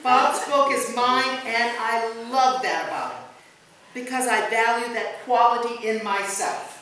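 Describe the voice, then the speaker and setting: A woman's voice: fairly high pitch, unhurried, fairly bright tone. Woman pastor in a very echoey church. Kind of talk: memorial service reading of a written tribute. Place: indoors in a church, recorded from a distance. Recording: very echoey church, distant mic, faint noise.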